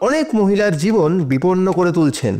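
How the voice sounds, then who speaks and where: A man's voice: sits high, sing-song, fairly quick, a little mellow. A man playing a persistent, persuasive defence lawyer who questions formally and emphatically in a courtroom audio drama. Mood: firm, urging.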